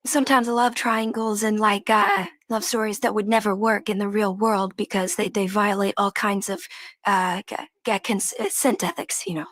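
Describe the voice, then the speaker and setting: A woman guest in an online discussion, speaking haltingly with frequent hesitations. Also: mid-range voice, a bit bright, dry recording, studio quality. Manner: halting, many fillers and restarts. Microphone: video call.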